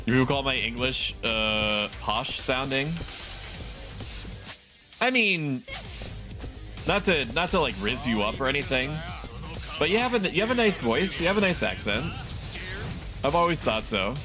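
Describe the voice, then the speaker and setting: A chatty male streamer talking at an ordinary pitch while a game's music runs clearly underneath. He rambles from one thought to the next, loose and offhand, and breaks into laughs often. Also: big pitch swings, measured pace, long pauses. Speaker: VTuber streamer. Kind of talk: casual live-stream gameplay chat. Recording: game music playing under the voice. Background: game music.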